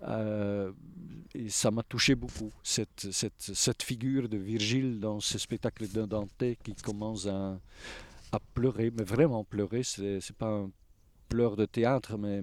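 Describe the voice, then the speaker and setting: Slow, halting man. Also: on the low side, steady tone, darkish tone, dry recording. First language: Flemish. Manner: halting, searching for words, frequent restarts. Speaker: theatre observer interviewee. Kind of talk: reflective interview answers.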